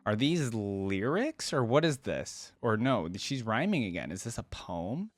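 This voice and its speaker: A man's voice: medium pitch, very animated, measured pace, no echo. A man hosting a reaction video with casual, off-the-cuff commentary, musing aloud as he goes.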